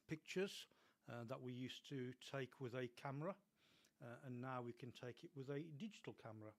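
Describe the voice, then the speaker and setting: A man hosts a radio show in a studio. His voice is a little dry today, and he talks loosely with plenty of hesitant ums and uhs. His pitch sits in an ordinary middle range. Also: a little mellow. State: slightly dry voice, coughing. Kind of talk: unscripted radio talk.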